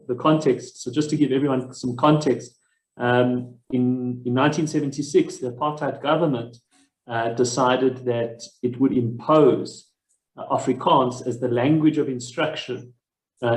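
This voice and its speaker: A man from South Africa, the interviewer, explaining background to an online audience in a measured way with frequent fillers. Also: medium pitch, echoey room, poor audio.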